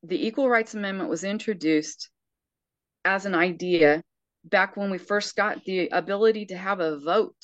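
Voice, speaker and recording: A woman's voice: medium pitch, moderate pace, dry recording, muffled recording. A sixty-year-old woman speaking earnestly and emphatically in casual conversation, often restarting her sentences. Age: 60s.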